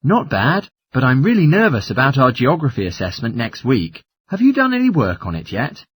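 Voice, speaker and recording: A man's voice: medium pitch, huge pitch swings, normal speed, slightly rough, dry recording. A man playing an agreeable geography student in a scripted listening-test study dialogue. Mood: friendly, uneasy. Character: male geography student, agreeable, a little nervous.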